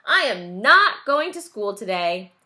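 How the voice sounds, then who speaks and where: A woman's voice: mid-range voice, sing-song, a little slow, pushed and bright, exaggerated voice. A woman voicing an anxious, stubborn young boy from a storybook, protesting emphatically. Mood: defiant.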